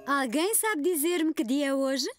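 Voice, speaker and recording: Peppy kindergarten teacher, european portuguese, high clear voice. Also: female voice, lively intonation, measured pace, over loud music. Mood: encouraging. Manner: clear, encouraging classroom tone. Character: cheerful preschool teacher. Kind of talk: cartoon dubbing.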